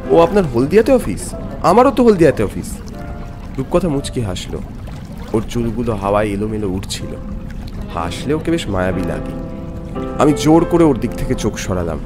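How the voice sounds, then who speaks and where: A man voicing a young office worker tells a ghost story in a mid-pitched, very lively voice. He is polite and jittery, dramatic and suspenseful, with music playing underneath. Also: moderate pace, long pauses, natural voice. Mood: polite, eager. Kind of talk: dramatic scripted first-person horror narration with dialogue.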